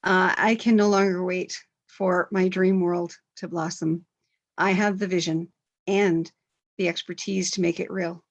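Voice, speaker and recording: A woman with a low, gravelly voice, speaking earnestly and stressing her words slowly. She is heard over a video call. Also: level tone, muffled recording. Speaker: co-author at a book launch. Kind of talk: casual online talk.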